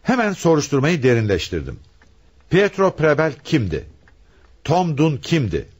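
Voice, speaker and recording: Commanding, animated police commissioner. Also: male voice, a little high, moderate pace, husky, heavily acted. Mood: authoritative, revealing. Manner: emphatic, dramatic, questioning delivery. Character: commanding male police homicide commissioner, probing and theatrical. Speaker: actor in a radio play. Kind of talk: Turkish radio theatre acting.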